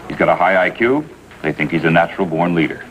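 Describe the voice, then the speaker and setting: Brisk American police officer, factual and exasperated. Deep, quick delivery, 1950s crime drama. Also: male voice, a bit bright. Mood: weary, factual. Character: businesslike, exasperated male juvenile police officer. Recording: noisy vintage TV soundtrack.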